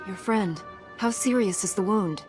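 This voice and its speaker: An actress playing a resolute, composed young apprentice heroine in a video game. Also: female voice, mid-range voice, measured pace, darkish tone, soft background music, close to an everyday voice. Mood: earnest. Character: resolute young female Jedi apprentice hero.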